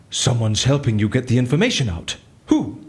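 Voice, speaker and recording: An actor playing an excitable, inquisitive schemer in an audio drama, with a theatrical, incredulous manner. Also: male voice, mid-range voice, measured pace. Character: excitable, inquisitive man scheming inside a prison.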